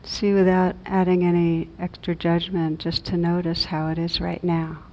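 A woman leading a guided meditation as a teacher, gentle and measured, with long pauses between reflective phrases. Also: level tone. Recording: dull muffled audio, low rumbling noise.

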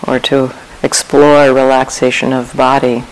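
A woman meditation teacher leading a guided meditation in a calm, measured way, with long pauses between short phrases. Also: a bass voice, low-quality recording.

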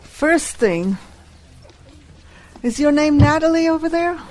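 A woman whose first language is Hebrew, teaching a live workshop and deliberately repeating key phrases. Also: sits high, lively intonation, a little mellow, a little hiss or hum, rough recording.

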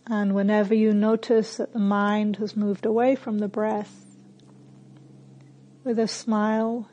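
A woman meditation teacher leading a guided meditation with gentle, unhurried instructions and pauses between phrases. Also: mid-range voice, flat delivery, lo-fi sound.

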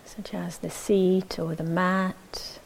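A woman teaching meditation, giving gentle, measured instructions with long pauses between short phrases. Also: on the low side, flat delivery, creaky, slight background noise, low-quality recording.

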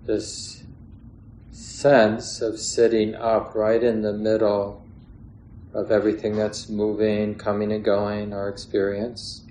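A man guides a meditation in a calm, measured way, leaving long pauses between phrases. His voice is on the low side and stays very level. The room adds a clear echo around his words. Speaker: meditation teacher. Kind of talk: guided meditation, unscripted instruction. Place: meditation hall or large room. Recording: roomy echo, faint background noise.

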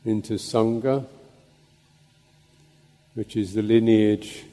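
In a long, echoing hall, a man with a lowish voice guides a meditation. He speaks very slowly as a monk teaching, placing short phrases between pauses. His manner is contemplative and halting. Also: fairly even intonation, warm tone. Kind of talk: guided meditation and Dhamma talk. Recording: long reverberant hall echo.

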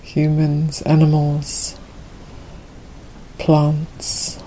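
A woman leading a guided meditation as a Buddhist teacher, unhurried, leaving gentle pauses between her phrases. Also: low-pitched, monotone, slight background noise, poor audio.

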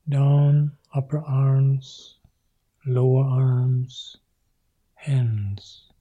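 A male monk guiding a meditation in soft, short phrases that often sink into a whisper. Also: mid-range voice, slightly rough. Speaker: Buddhist monk leading meditation. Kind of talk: guided meditation.

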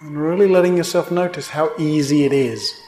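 A man teaching a guided meditation in gently instructive, unhurried phrases with pauses between them. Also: fairly high pitch, slight background noise. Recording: roomy, echoing indoor sound.

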